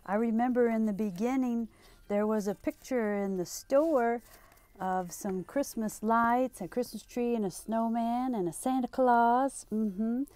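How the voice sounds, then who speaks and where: Slow, mellow woman storytime host. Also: on the high side. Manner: sing-song, asking and answering questions for young children. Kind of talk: children's storytime, reading and chatting.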